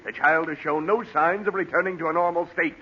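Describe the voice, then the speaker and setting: A man's voice: fairly high pitch, fairly even intonation, a little fast, breathy edge. A man playing a pompous, insistent, easily riled doctor in 1940s radio comedy, officious, formal and emphatic. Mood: officious, impatient. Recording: muffled vintage 1940 radio recording, faint noise.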